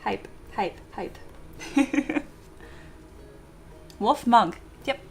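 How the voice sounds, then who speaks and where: Young female streamer, bubbly and excitable, reads chat aloud, faint music underneath. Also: on the high side, extremely slow, creaky. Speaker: streamer, 3D sculptor. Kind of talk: casual livestream chat with viewers.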